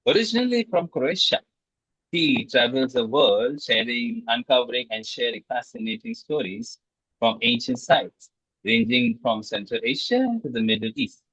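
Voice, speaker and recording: A man with a raspy voice hosts an interview in a polite, scripted way. His pitch moves with plenty of variation. The recording is dry and somewhat muffled. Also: lively intonation, normal speed, fairly bright tone, no room sound. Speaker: interviewer, host. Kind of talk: scripted introduction and questions. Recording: dull, lo-fi, dry sound.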